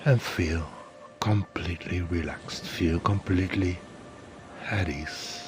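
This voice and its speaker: A man narrating a guided meditation in a soothing, deliberate way, leaving pauses between phrases. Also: low voice, background noise.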